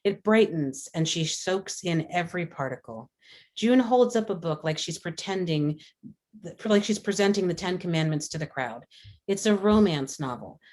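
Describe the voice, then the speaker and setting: A woman's voice: fairly low pitch, expressive, moderate pace, a little mellow. A woman reading scripted stage directions aloud at a script table read. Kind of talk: scripted narration of screenplay action.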